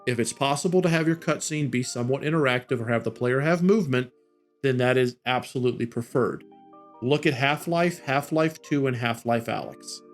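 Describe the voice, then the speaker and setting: A man's voice: medium pitch, brisk. A man co-hosting a casual advice talk, speaking in a blunt, opinionated way. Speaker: co-host of a game-making show. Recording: music behind the voice. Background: background music.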